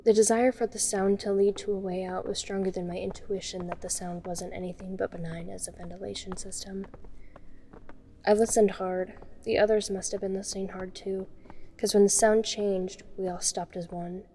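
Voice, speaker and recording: A woman's voice: mid-range voice, little pitch movement, moderate pace, dark tone, a little hiss or hum, lightly acted. A woman playing a frightened young woman, narrating a scripted first-person horror story. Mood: suspenseful. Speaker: voice actor narrating a fan audio drama.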